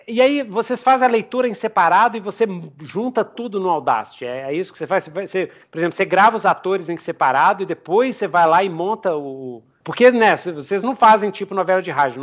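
A Brazilian man hosting a podcast interview in an informal, slangy, chatty way. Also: sits high. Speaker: podcast host. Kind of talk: casual interview chat.

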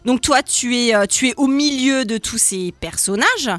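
A woman co-hosting a radio show, asking questions with many pauses and fillers. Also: on the high side, fairly bright tone, dry recording, faint music behind. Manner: hesitant, many fillers, agreeing. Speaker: radio co-host. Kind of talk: casual radio interview.

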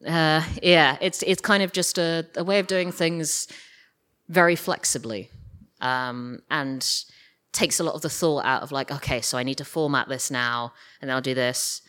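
Low voiced woman, bright tone, guest writer rambling casually in a live interview. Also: steady tone, moderate pace, clear, resonant tone. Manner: informal, rambling explanations. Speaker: guest, audio drama writer. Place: live podcast festival stage.

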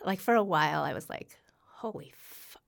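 A woman in her fifties with a lowish voice talks in a loose, chatty way as a podcast guest. She laughs easily and pokes fun at herself. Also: lively intonation, normal speed, creaky. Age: 50s. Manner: laughs often, self-deprecating. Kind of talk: casual chat.

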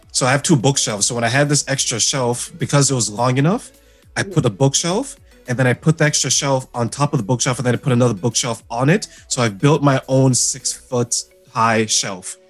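Relaxed, chuckling male podcast host. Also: medium pitch, normal speed, faint music behind. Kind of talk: casual interview chat.

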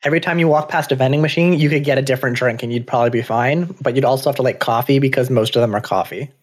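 A man with a middle-pitched voice co-hosting a stream and chatting easily. He fills his sentences with "like" as he talks. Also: racing. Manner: chatty, many "like" fillers. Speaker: stream co-host. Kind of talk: casual chat.

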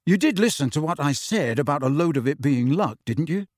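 A man's voice: on the high side, lively intonation, a little fast, dry recording. Male narrator's take on a sulky, wary teenage wizard boy, dry close sound. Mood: grumpy. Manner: grumpy, reluctant.

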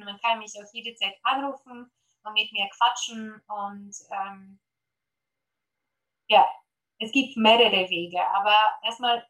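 A woman's voice: mid-range voice, a bit bright, poor audio. A woman from Poland with a Polish accent, speaking German as a guest on an interview podcast about gut health, eager and full of fillers. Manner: eager, many fillers, promotional.

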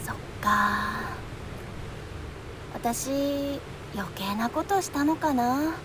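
A woman playing a gentle, earnest high school girl in a radio drama, with casual youthful phrasing. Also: extremely slow, background noise. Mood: earnest, subdued.

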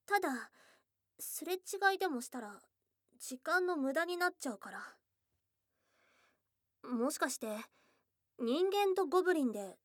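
A woman voicing a shy, affectionate young goblin girl in a Japanese ASMR voice drama, with casual tomboyish speech and a soft, hesitant delivery. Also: high voice, dry recording, studio quality, exaggerated voice. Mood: pouty. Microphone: close studio mic, dry.

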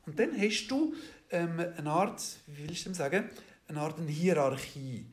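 A man with a Swiss German accent, a guest in an interview, explaining at length in a halting way, with many fillers and restarts, checking in with his listeners as he goes. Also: a little high, expressive. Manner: ends points with a questioning "oder?".

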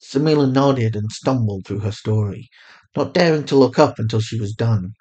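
A man's voice: medium pitch, normal speed, warm tone. A man reading scripted story narration as the narrator of a piece of fiction.

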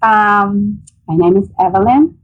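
Salvadoran accent lady in her 40s, husky voice, lots of ums, dull lo-fi call sound. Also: medium pitch, measured pace. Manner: earnest, hesitant with frequent ums. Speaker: guest, nonprofit director. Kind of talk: interview answers.

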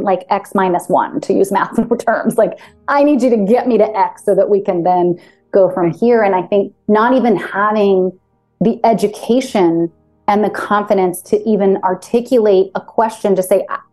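A woman hosting a casual interview, talking animatedly with quick asides and frequent affirmations. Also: medium pitch, mellow. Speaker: podcast host.

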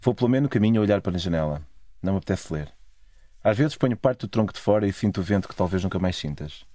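A man's voice: low-pitched, animated, rapid-fire, warm tone, no echo. A man with a European Portuguese accent narrating a scripted, literary first-person travel story. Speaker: narrator of own travel stories.

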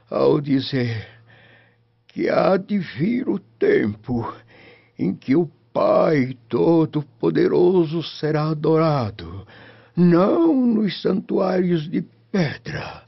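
Husky, frail old Roman patriarch. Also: male voice, a little high, full character voice. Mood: reverent. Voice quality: husky, breathy, quavering. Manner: solemn, slow, tender. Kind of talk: radio drama acting. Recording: muffled lo-fi, music underneath.

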